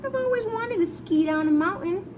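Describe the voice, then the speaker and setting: A woman's voice: very high voice, animated, moderate pace, noisy background, pitched above the performer's own voice. A woman voicing a small, curious, innocent boy teddy bear in a wondering storybook manner. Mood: delighted, dreamy. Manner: wide-eyed, wondering.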